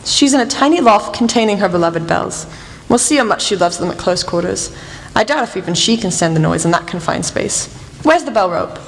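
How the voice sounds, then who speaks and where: A woman's voice: medium pitch, expressive, brisk, creaky, a little hiss or hum. A woman playing a commanding, shrewd female detective in a radio play, speaking in a stern, formal way. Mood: decisive. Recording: long reverb, music underneath.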